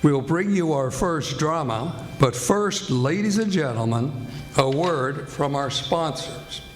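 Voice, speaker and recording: A man's voice: on the high side, lively intonation, slow pace, noisy background. An American man announcing a live stage show in the scripted style of 1940s radio. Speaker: announcer and host.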